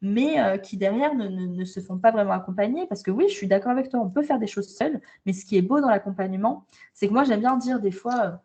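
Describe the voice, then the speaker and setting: A woman's voice: medium pitch, fast, hardly any pauses, dark tone. Direct, emphatic French woman. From France. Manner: direct, emphatic, many repeated starts. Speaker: guest, holistic coach. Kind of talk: casual video interview. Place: travelling abroad, indoors.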